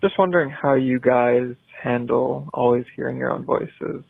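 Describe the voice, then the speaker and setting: A man's voice: medium pitch, normal speed, creaky, no room sound. A man from Massachusetts leaving a self-conscious, hesitant voicemail for a podcast. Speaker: voicemail caller. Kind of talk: recorded voicemail message. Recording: tinny phone voicemail.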